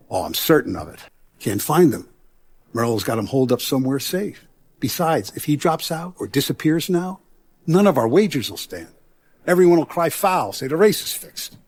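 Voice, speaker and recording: Video game mob boss, male, played by a man, middle-aged. Paternal but ruthless, deliberate, authoritative, streetwise, mid-pitched. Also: natural voice. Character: commanding, paternal, proud mob boss, principled yet ruthless. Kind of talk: dramatic video game voice acting.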